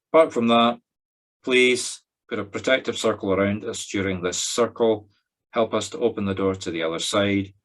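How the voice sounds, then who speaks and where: Deep-voiced Scottish man guiding a meditation. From Scotland. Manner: slow, pausing instructions in short phrases. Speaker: spiritual medium leading a group circle. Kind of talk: guided meditation.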